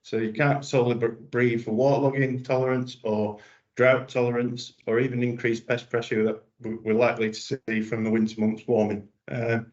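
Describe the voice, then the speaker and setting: A man speaks as a plant breeder in a webinar, halting and restarting his sentences. His delivery is very flat, with little rise or fall. The sound is muffled and lo-fi. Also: medium pitch. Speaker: vegetable breeder presenting a webinar. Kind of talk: explanatory presentation. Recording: dull lo-fi webinar audio.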